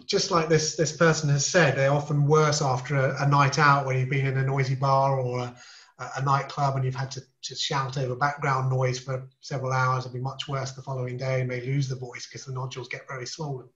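Male ent doctor on a podcast, calm and reassuring, bit of room echo, dull sound. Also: medium pitch. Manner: measured, reassuring, explanatory with small restarts. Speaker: ear, nose and throat specialist guest. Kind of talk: podcast interview, explaining medical topics.

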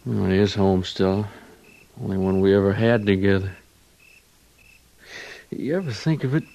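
An American actor playing a worried, tender young cavalry trooper husband in an old-time radio drama, with a folksy rural way of talking. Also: male voice, on the low side, moderate pace, vocal fry, lo-fi sound. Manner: folksy rural drawl, gentle and earnest.

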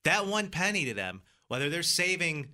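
A male radio co-host chats easily on air. His voice is very bright and lively, rising and falling a lot as he goes. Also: fairly high pitch, normal speed. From Greece, New York. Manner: easygoing, reads out local gas prices. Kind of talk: casual morning radio chat.

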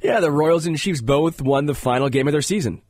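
A man's voice: fairly high pitch, brisk, darkish tone, no room sound, clean studio sound. A male sports radio host, congested, talking earnestly with a lot of fillers. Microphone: studio microphone.

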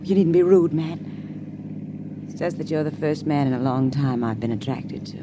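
An actress playing a seductive, forward party comrade in a 1950s radio drama, with a coy, flirtatious manner. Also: female voice, normal speed, lightly acted. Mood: flirtatious, teasing. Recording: noisy 1950s radio recording, background rumble.

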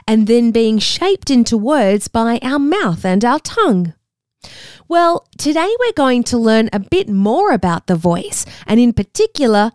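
A woman's voice: on the high side, a little mellow, dry recording, clean studio sound. Upbeat Australian woman hosting a kids' show. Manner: talks directly to young listeners, upbeat and encouraging. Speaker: children's podcast host. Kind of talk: scripted kids' show presenting.

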